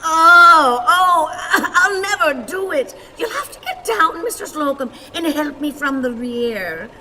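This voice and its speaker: A woman's voice: high-pitched, sing-song, slow pace, bright, forceful tone, heavily acted. A woman playing a stout, cantankerous, self-pitying old woman in a radio play, with theatrical, exclamatory wailing and lamenting. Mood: fretful, straining. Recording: reverberant hall, audience noise.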